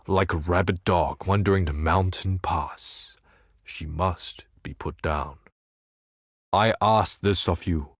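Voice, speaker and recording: Brooding desert mystic for a fantasy game: deep, portentous, theatrical delivery, very dry close sound. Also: male voice, no echo, heavily acted. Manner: portentous, measured, ornate speech. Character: cryptic male desert seer, brooding, solemn and faintly mocking. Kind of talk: theatrical video game character dialogue.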